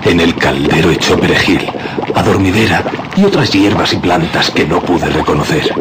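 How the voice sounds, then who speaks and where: A frightened shepherd recounts horrors solemnly. His low voice swings widely with suspense, over very loud noise in a radio drama recording. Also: expressive, moderate pace. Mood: ominous. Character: frightened male shepherd giving eerie witness account.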